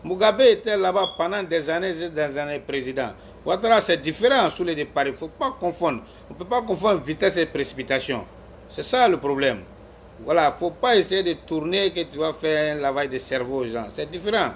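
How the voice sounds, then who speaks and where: Man from Ivory Coast, live-stream commentator, a bit high-pitched, combative and repetitive, faint noise behind. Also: expressive, a little fast. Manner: argumentative, scolds viewers by name, repeats himself. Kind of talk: heated political commentary.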